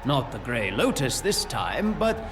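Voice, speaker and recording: An actor playing a gloating, treacherous priest, a grandiose and taunting villain in a fantasy audio drama. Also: male voice, medium pitch, big pitch swings, measured pace, music in the background. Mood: gloating triumph. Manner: theatrical, taunting.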